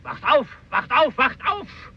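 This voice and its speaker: A man's voice: extremely high pitch, very animated, takes it slowly, gravelly, heavily acted. An actor playing a frightened fisherman lookout, urgent and insistent, in a radio drama. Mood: alarmed. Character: terrified fisherman lookout raising the alarm. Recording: dull, noisy radio recording.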